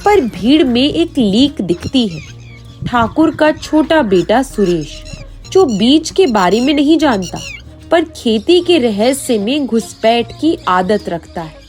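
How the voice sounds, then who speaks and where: A woman's voice: a little high, big pitch swings, takes it slowly, a little mellow. A woman narrating a folk tale as a dramatic scripted story. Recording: faint music behind the voice.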